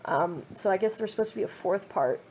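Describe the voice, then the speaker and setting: A woman's voice: mid-range voice, expressive, a little fast, a little mellow, a little hiss or hum, low-quality recording. A young woman reading her own fan fiction aloud, then adding wry, self-mocking commentary.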